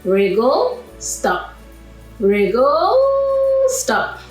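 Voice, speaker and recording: A woman's voice: on the high side, wildly swooping pitch, extremely slow. A woman hosting a children's read-aloud, talking playfully to young listeners and asking them questions. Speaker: children's storyteller and host. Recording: roomy echo with music.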